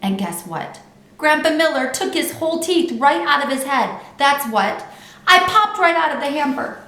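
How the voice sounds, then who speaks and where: A woman's voice: sits high, big pitch swings, normal speed, pushed and bright, pitched above the performer's own voice, heavily acted. Woman acting a brash little storybook girl, echoey room. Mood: chatty, confiding. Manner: childlike bad grammar, emphatic and boastful. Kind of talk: children's book read-aloud. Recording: roomy echo with background noise.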